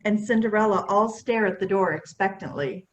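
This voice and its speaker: A woman's voice: mid-range voice, normal speed, lo-fi sound. A woman reading stage directions as a scripted narrator.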